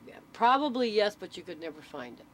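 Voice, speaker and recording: A woman's voice: medium pitch, very animated, a little fast. A woman explaining things in casual storytelling, matter-of-fact and checking that the listener follows. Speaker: interviewee, local storyteller. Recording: noisy amateur recording with vehicle ambience.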